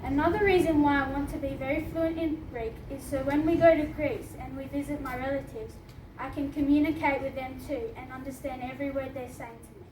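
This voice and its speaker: High-voiced teenage girl reading in a hall. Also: steady tone, a little fast. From Australia. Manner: earnest, with small laughs. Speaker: student reading her story. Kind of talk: reading a personal essay aloud. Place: hall at a book launch. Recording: echoey hall from far off, noisy.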